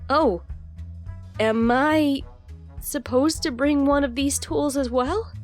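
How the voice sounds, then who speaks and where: A woman's voice: high-pitched, slow pace, faint music behind. A woman playing a polite, awkward female unicorn pony in a fan audio drama, prim and hesitant in her way of talking. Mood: wry, unsure.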